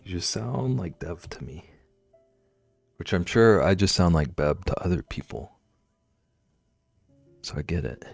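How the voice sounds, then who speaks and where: A man with a very low, gravelly voice that swings widely up and down as he jokes with his stream. He is recorded close and dry, with faint music underneath. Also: lively intonation, measured pace, long pauses. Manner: playful, jokey and chatty. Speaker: livestreamer. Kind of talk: casual chat with viewers while drawing.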